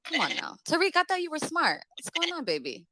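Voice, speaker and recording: A woman's voice: very high-pitched, wildly swooping pitch, fairly quick, sharp tone, slight background noise. A woman calling into a livestream, taunting the host with rhetorical questions. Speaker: caller in a livestream debate.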